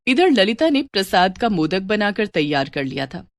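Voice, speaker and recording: A woman's voice: medium pitch, very animated, normal speed, no echo. A woman narrating a scripted story as its storyteller.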